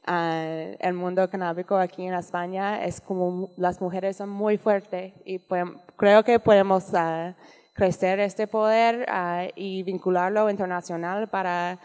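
A woman with a Canadian English accent speaks slow, halting Spanish at a panel table, pausing to find her words. Her voice sits a bit low. The sound carries the long reverb of a large conference hall. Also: steady tone, a little mellow, clear, resonant tone. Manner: halting non-native Spanish, searching for words. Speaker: guest speaker. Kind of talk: panel talk. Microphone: table microphone.

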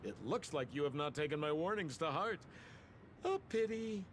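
A man's voice: fairly high pitch, animated, moderate pace, natural voice. Guy playing cool menacing game villain, theatrical n condescending, voice swings around, bit of noise. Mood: disdainful. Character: cool, menacing man giving a warning. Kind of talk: video game voice acting.

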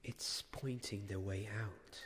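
A young man playing a devoted, weary knight in a soft-spoken ASMR roleplay, halting and often slipping into a whisper. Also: on the low side, steady tone, slight background noise. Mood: hushed, wondering. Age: young adult. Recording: long cavernous reverb, faint background ambience.

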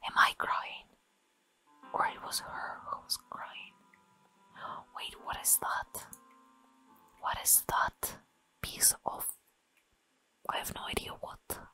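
A woman's voice: slow pace, pauses often, pushed and bright, faint music behind, rough recording. A woman whispering playful, giggly commentary as she plays a barista game, in the manner of ASMR. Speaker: gamer playing a barista game.

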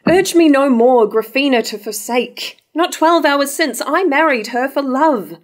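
A proud, honourable young nobleman read by a young woman speaks in formal verse. The voice sits fairly high, and he comes across as a devoted lover in a classical stage play. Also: expressive, unhurried. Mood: firm, devoted. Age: young adult. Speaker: actor in a staged reading. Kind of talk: formal verse drama reading. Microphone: stand mic at lectern.

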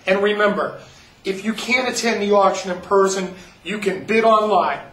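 Folksy high-voiced auction pitchman. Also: moderate pace, fairly bright tone, recorded from a distance. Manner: friendly, keeps calling listeners folks. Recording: roomy, from off the mic.

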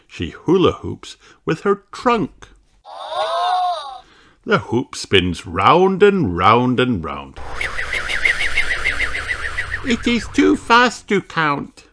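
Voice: deep voice, a bit bright. A narrator reading a picture book aloud for young children in a storytelling style.

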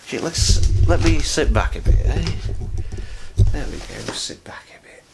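A man's voice: mid-range voice, big pitch swings, normal speed, gravelly, very noisy. A young man, sounding nasal from a cold, chatting casually and rambling through an unboxing in his living room at night, close to a camera. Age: young adult. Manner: chatty, rambling, self-mocking asides. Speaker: vlogger. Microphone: camera on a tripod, sitting close.

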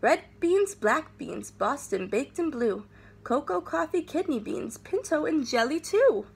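A woman's voice: high-pitched, big pitch swings, measured pace, vocal fry, voice pushed up, exaggerated voice. Woman doing a tiny laid-back little dog. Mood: chatty. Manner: casual, slangy.

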